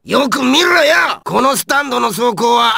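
An actor playing a hot-tempered male ice-wielding villain in an anime video game, with a coarse, raspy, gravelly, snarling delivery. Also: very high voice, very animated, moderate pace, sharp tone, no room sound, shouting, studio quality, heavily acted. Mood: aggressive, triumphant.

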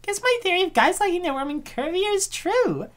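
A woman playing a fretful young college woman in a comic dub. Her voice sits very high and swoops around a lot. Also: big pitch swings, a little fast. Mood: perky. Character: anxious young woman student, self-conscious.

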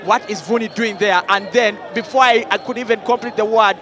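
A man with a Ugandan English accent breaks down each move as a match analyst. His voice sits high and he pauses on fillers while thinking aloud. Crowd noise and music run under him. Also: steady tone. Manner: measured analysis, frequent fillers. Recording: broadcast mix with crowd and music.

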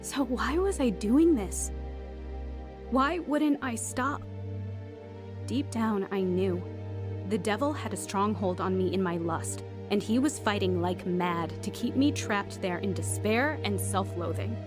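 A woman's voice: mid-range voice, unhurried, natural voice. An actress playing a reflective young woman who narrates an audio drama in an earnest, confessional way. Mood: troubled, earnest. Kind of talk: scripted first-person drama narration. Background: music.

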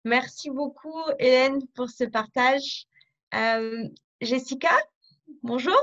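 A woman's voice: high voice, takes it slowly, a bit bright. A woman moderating a conference over a video call, asking questions in a friendly way and laughing often. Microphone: webcam on a video call. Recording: dull video-call audio.

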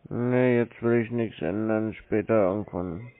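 Drowsy, deadpan man on the phone. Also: lowish voice, a little slow. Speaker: scambaiter taking cold calls. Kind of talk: casual phone conversation. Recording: muffled narrowband phone line.

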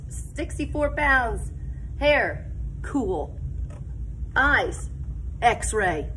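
Female teacher for children's read-aloud, fairly high and slow, expressive, recorded in a classroom with light room noise. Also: low-quality recording. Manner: animated, deliberate delivery, stretching words for young children. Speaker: kindergarten teacher. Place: indoors, school classroom.

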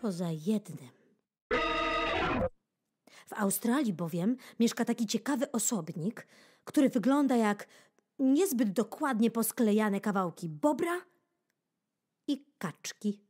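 A woman reads a children's nature book as an expressive audiobook narrator. Her delivery is unhurried and highly animated in pitch, with playful emphasis and dramatic pauses. Keyboard music plays clearly behind her studio-recorded voice.

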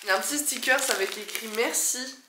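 A young woman in her twenties with a stuffy nose from a cold, chatting excitedly and poking fun at herself while she unboxes a plant in her living room. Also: on the high side, quick pace, roomy sound, very noisy. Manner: chatty, excitable, self-mocking. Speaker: plant vlogger. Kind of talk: casual unboxing vlog.

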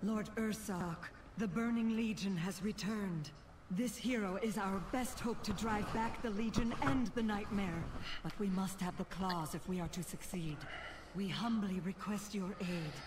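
Actress voicing earnest druid, formal and reverent, video game character, roomy distant sound, noisy background. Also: female voice, mid-range voice, unhurried, close to an everyday voice. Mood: reverent pleading. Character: earnest druid spellcaster pleading for aid.

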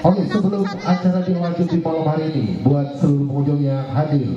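A man's voice: fairly high pitch, little pitch movement, brisk, dark tone. A middle-aged man working as the MC at a night event, announcing the program in a loose, chatty way through a handheld microphone. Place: outdoor stage at night. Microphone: handheld mic over PA. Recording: distant, echoey PA, lo-fi camera.

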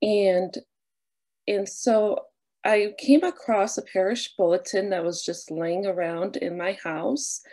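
A woman in her thirties, a nun who grew up speaking Spanish, tells her story at a slow, easy pace. She fills gaps with "um" and soft laughs. It is a webcam recording from a small bedroom. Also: mid-range voice, fairly even intonation. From Argentina, raised near New Orleans. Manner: warm, unhurried, with fillers and soft laughs. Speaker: nun and vocations director. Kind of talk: casual talk, opening with a prayer. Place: small bedroom with a wooden armoire, indoors. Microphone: webcam on a video call.

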